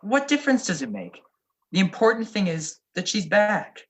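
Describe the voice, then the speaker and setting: A woman's voice: mid-range voice, very animated, normal speed, lightly acted. A woman playing a breezy, evasive married male detective in a radio play, wry and slangy. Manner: wry, slangy, deflecting questions.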